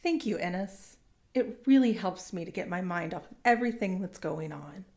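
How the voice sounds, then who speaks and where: A woman's voice: on the low side, lively intonation, moderate pace, darkish tone, close to an everyday voice. An actress playing an anxious, earnest woman recovering in physical therapy. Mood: worried.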